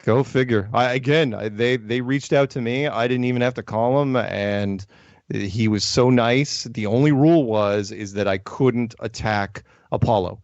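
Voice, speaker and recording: A man's voice: medium pitch, normal speed, darkish tone, no room sound. Guy hosting a call-in show, upbeat and laughing a lot, dry and a bit dull sounding. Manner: upbeat, laughs often, repeats words. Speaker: host taking calls. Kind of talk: casual call-in chat.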